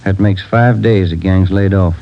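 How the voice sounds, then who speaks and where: A man's voice: low voice, moderate pace. An actor playing a dry, wisecracking male police detective partner in a 1950s radio drama. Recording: dull old radio transcription with faint hiss.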